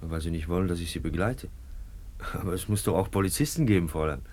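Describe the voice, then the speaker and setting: A man's voice: deep voice, fast talker, a little mellow. A man playing a sentimental, pompous policeman in a radio drama, speaking earnestly and sententiously. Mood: awkwardly courting. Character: sentimental, pompous policeman awkwardly courting a young woman. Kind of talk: naturalistic radio drama acting.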